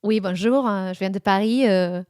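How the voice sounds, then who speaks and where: A woman's voice: medium pitch, moderate pace, dark tone, no echo, clean studio sound. A woman guest from Spain chatting casually and animatedly, laughing often and trying out other accents. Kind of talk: casual conversation.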